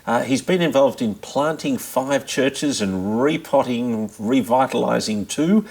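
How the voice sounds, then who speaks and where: A man's voice: mid-range voice, a little slow, gravelly, a little mellow. A middle-aged man hosting a podcast interview, asking questions in a casual, hesitant, conversational way. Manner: chatty, hesitant with restarts and prompting questions.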